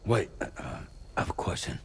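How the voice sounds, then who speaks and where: An actor playing a gruff, troubled working man in a video game, plain-spoken and questioning. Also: a little fast, slight background noise, natural voice. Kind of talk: naturalistic game cutscene dialogue.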